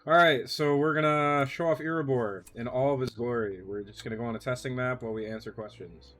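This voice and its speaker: A man in his twenties chatting casually on a live stream while playing a game, excitable and quick to swear. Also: mid-range voice, faint music behind. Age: 20s. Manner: excitable, swears, laughs easily. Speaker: mod developer streaming gameplay.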